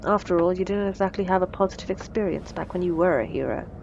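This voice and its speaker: A woman's voice: fairly low pitch, quick pace, creaky, muffled recording, noisy background. A woman playing a wry, menacing supervillain in a scripted audio roleplay, teasing and condescending, with cool threats. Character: wry, menacing female supervillain mentoring a captive assistant.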